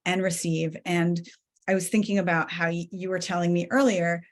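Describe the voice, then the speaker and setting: A woman's voice: lowish voice, steady tone, moderate pace. A woman in her thirties from Iran, hosting a video call interview in an affirming, conversational way with many "like"s. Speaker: podcast host. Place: home room, indoors.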